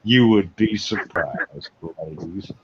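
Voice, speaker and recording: A middle-aged man hosts a casual, joking live chat at a very slow pace. His pitch sits low but swings widely, and the recording is noisy and muffled. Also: expressive. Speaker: live show host. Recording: dull lo-fi audio, clear noise, clicks.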